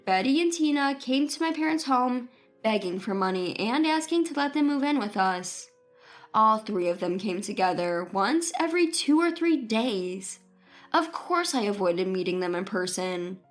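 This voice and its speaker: Casting: female narrator, high voice, scripted first-person storytelling over faint music. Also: animated, normal speed.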